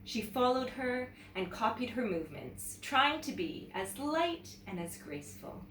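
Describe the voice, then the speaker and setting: A woman teacher reading a children's story aloud in an expressive, animated way, talking directly to young viewers. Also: on the high side, unhurried. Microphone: camera mic at some distance. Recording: roomy, distant mic with background noise.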